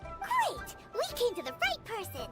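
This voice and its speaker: A woman voicing a small, excitable, childlike female companion creature in a video game, squeaky and exclamatory. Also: measured pace, full character voice. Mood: excited. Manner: squeaky, exclamatory, refers to self by name. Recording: loud game music underneath.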